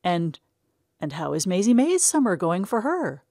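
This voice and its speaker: A woman's voice: fairly low pitch, big pitch swings, normal speed, dark tone, no room sound, pitched above the performer's own voice. An awkward, lovesick young farm man, as a woman narrator puts him on, rambling when flustered. The voice stays close and dry.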